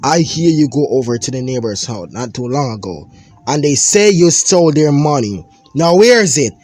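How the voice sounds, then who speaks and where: A man voicing a stern middle-aged father who scolds his son loudly. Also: mid-range voice, expressive, a little fast, no room sound, soft background music, close to an everyday voice. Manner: shouting, scolding.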